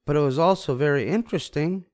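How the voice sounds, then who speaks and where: A man voicing a gentle, motherly mama bear, patient but firm, in a storybook reading. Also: a little high, quick pace, voice pushed up, close to an everyday voice. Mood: soothing.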